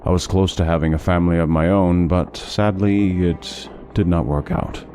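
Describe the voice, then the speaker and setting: A man playing a courteous, learned, melancholy traveling scholar in a fantasy audio drama, speaking in measured, formal phrases with music behind him. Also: deep voice, fairly even intonation, dark tone, slight background noise. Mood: somber, wistful. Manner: measured, formal, articulate storytelling.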